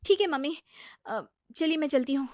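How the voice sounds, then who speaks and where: A woman's voice: high voice, normal speed, no echo, clean studio sound, pitched above the performer's own voice, natural voice. A woman voicing a busy, self-important young daughter-in-law, preachy and exasperated, in a story dialogue. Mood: hurried. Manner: self-righteous, excuse-making. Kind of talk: acted dialogue in a Hindi moral story.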